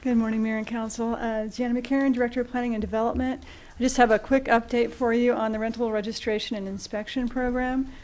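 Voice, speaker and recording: A woman's voice: fairly high pitch, level tone, fast talker, darkish tone, low-quality recording. A woman giving an informal update to a council as a city planning director. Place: public council meeting room. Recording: meeting room with background noise.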